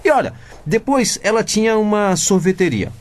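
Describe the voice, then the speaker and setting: An actor playing a cheeky, suspicious fifteen-year-old son with a Brazilian accent in a comedic radio drama. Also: male voice, high-pitched, animated, normal speed. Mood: accusing. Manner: insinuating, cheeky.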